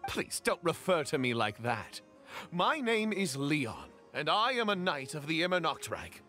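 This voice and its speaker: A man's voice: fairly high pitch, big pitch swings, a little slow, sharp tone, faint music behind, exaggerated voice. A man playing an earnest, courteous young knight in a video game, speaking formally and with flowery politeness. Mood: earnest, miffed.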